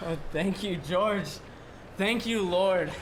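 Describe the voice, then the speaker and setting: A man's voice: high-pitched, slow pace, background noise. An actor playing a kindly, bumbling male apprentice angel with a hesitant, pleading manner, in 1940s radio style. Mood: earnest, fervent.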